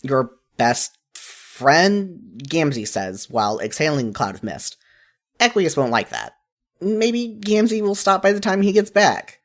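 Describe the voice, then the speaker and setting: A narrator reading a second-person story aloud in an expressive style, as in a fan fiction audiobook. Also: mid-range voice, a little slow.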